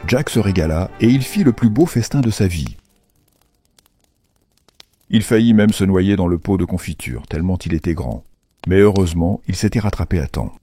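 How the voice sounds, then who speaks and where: A male narrator tells a children's tale in a deep, mellow voice. His delivery is animated and playful, with wide swings of intonation. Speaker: storyteller, narrator.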